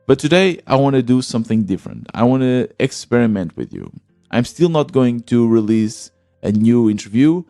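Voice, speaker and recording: A man's voice: medium pitch, mellow, no room sound. A man hosting his own podcast, talking earnestly and thinking aloud with small restarts and fillers. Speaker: podcast host. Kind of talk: solo podcast monologue.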